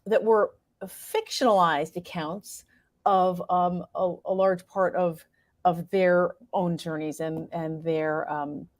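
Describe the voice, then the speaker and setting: An American woman speaking reflectively as an interview guest, thoughtful and halting as she searches for her words. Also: fairly low pitch, steady tone, no echo. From New York state, United States. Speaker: interview guest, playwright and performer.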